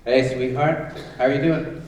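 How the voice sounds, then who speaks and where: A man's voice: mid-range voice, steady tone, normal speed, darkish tone, a little hiss or hum, lightly acted. A man playing a casual, familiar prison guard in a staged reading. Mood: breezy, familiar. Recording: echoey stage, distant mic.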